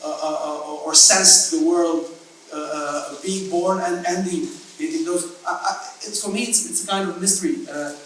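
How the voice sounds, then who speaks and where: A man's voice: a little high, fairly even intonation, normal speed, fairly bright tone, a little hiss or hum. A man, a writer at a literary event, speaking hesitantly and philosophically while searching for his words. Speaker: writer on a panel. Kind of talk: reflective conversation at a literary event. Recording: echoey room, mic far off.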